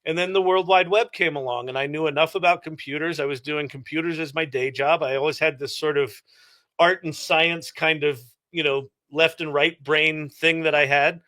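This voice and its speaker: Middle aged guy, kinda high bright voice, chatting thru his stories and explaining stuff in an interview. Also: steady tone, normal speed. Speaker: interview guest, entrepreneur and former actor. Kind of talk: casual interview answers.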